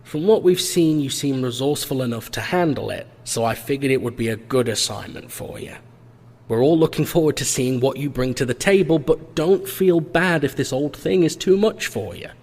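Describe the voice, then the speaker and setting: Man playing male boss, audio drama, gravelly rasp, encouraging, informal, long echoing reverb tail. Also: medium pitch, normal speed, a little mellow, lightly acted. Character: Russ, easygoing male boss of small investigation firm. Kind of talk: memo read aloud in audio fiction.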